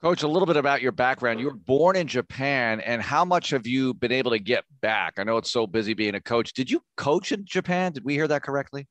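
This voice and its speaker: A male sports interviewer asks his questions in an easy, conversational way. His voice is mid-pitched, and the recording is dry, with no reverb. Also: animated, fairly quick, hardly any pauses, fairly bright tone. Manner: conversational, addresses the guest as "Coach".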